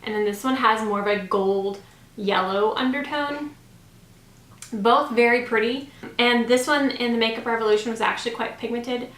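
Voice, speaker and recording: A woman's voice: a little high, moderate pace, fairly bright tone. A woman in her thirties chatting casually to camera as a beauty vlogger, informal and gushing, with a slightly scratchy voice. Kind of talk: makeup review, casual chat to camera. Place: small home room, indoors. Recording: roomy echo.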